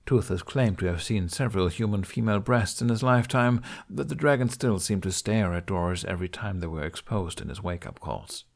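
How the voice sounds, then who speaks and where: A man's voice: fairly low pitch, brisk, gravelly, few pauses. A man reading scripted fiction as an audiobook narrator.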